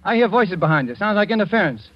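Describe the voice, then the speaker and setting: An actor playing a brisk, insistent, puzzled male engineer in 1940s radio drama style. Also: high-pitched, big pitch swings, dry recording. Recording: dull, hissy 1940s radio recording.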